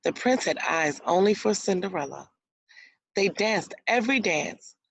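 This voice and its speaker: A woman's voice: mid-range voice, animated, unhurried, creaky, no echo, rough recording. A woman teacher reading a storybook aloud to young children, explaining words and asking guiding questions as she goes. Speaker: elementary school teacher.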